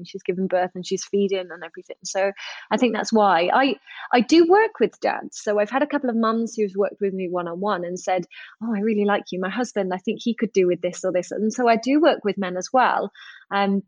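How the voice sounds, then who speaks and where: An Englishwoman in her thirties, a parenting counsellor, chatting in an interview, warm and full of restarts. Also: medium pitch, no echo, dull audio. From England. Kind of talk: casual interview chat.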